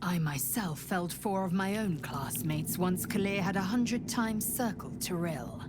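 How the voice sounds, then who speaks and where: A woman's voice: on the low side, expressive, unhurried, over music. An actress playing a proud, disdainful alien warrior woman in a video game, speaking in formal, haughty declarations. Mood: scornful. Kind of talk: video game character acting.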